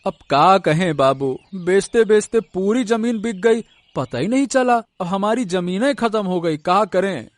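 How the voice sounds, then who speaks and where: A man's voice: high-pitched, lively intonation, measured pace, no room sound. A man voicing a gullible village farmer in a cartoon story, with a bewildered, rustic and at times blustering manner. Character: gullible, simple-minded village farmer father.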